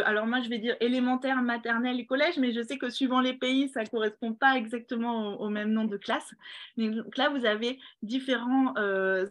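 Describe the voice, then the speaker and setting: A woman presents an award ceremony over a video call, addressing children and teachers. Her fairly high voice is encouraging, with many small hesitations. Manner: warm, encouraging, with fillers. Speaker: ceremony host.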